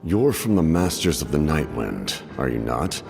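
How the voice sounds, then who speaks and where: Very deep, gravelly cold commander. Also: male voice, big pitch swings, full character voice. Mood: cold, measured. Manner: slow, formal, deliberate phrasing. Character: grave, imposing Fatui commander, formal and cold. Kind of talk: video game voice acting. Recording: long reverb, loud music underneath.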